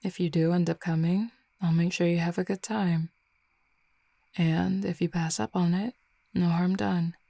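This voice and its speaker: A flirty female werewolf, played by a woman in an intimate roleplay audio drama, speaking low with plenty of gravelly fry. She is easygoing and confident, teasing affectionately in a mellow, unhurried way. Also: steady tone, dry recording, studio quality. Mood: reassuring, inviting. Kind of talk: intimate roleplay audio drama, casual one-sided conversation.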